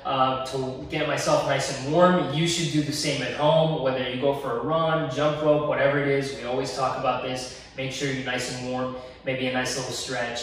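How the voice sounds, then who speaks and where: A man's voice: mid-range voice, little pitch movement, normal speed, a little hiss or hum. A young man hosting a fitness vlog in a home gym, talking in an earnest, encouraging way to camera. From Egypt. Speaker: fitness channel host. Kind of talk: casual fitness vlog talk. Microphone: camera at a distance. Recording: echoey room, mic at a distance.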